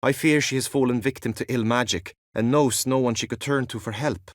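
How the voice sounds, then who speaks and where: An actor playing an earnest, lovestruck young knight in a video game, speaking in a courtly, theatrical manner with formal archaic phrasing. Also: male voice, medium pitch, fairly even intonation, brisk, dry recording, clean studio sound, close to an everyday voice. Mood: tender, devoted.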